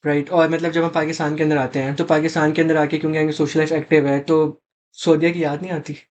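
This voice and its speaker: Fast-talking young Pakistani man. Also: a little high, little pitch movement, darkish tone. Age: young adult. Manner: code-switches into English, inquisitive. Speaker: interview host. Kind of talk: casual interview.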